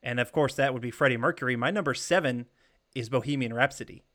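A man's voice: mid-range voice, fast, fairly bright tone. A man co-hosting a casual podcast chat, talking enthusiastically and swearing casually. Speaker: podcast co-host.

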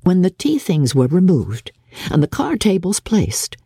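Female audiobook narrator: husky, expressive, dramatic literary reading, low-set voice, dry close sound. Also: no room sound. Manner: expressive, theatrical, measured. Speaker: narrator of a classic novel.

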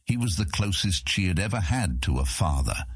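A man's voice: deep voice, big pitch swings, normal speed, breathy edge, no room sound, studio quality. A man reading scripted narration for a fantasy audiobook.

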